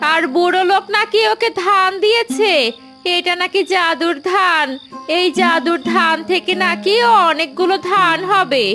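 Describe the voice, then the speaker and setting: A woman voicing an irritable, sharp-tongued village mother in a cartoon fairy tale. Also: very high-pitched.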